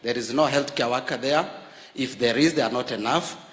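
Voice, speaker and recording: A Kenyan man giving a formal public speech to political leaders, measured, with frequent fillers, rising to stress key points. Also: medium pitch, a bit bright. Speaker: health worker leader addressing a political forum. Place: large university hall. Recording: reverberant hall.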